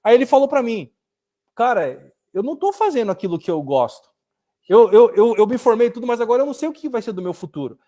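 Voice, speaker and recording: A Brazilian man with a Brazilian Portuguese accent speaks in a high, quick voice, carrying a casual live discussion with animated stories. He talks from his office over a video call and keeps calling his listener "cara". The delivery is fast and full of momentum. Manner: animated storytelling, often says "cara". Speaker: business owner and co-host.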